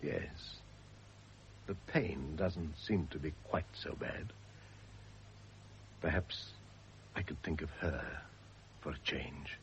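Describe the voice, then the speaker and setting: An actor playing a condemned, remorseful philanderer in a radio drama, halting and impassioned as he confesses. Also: extremely low pitch, exaggerated voice. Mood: resigned. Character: anguished condemned man, remorseful philanderer awaiting his end. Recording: muffled old radio drama recording, faint hiss.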